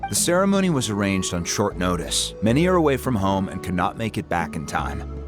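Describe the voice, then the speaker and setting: Calm ceremonious chief, low and measured, music under the dialogue. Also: male voice. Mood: placating. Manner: measured and formal. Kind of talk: video game voice acting. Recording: game audio with background music.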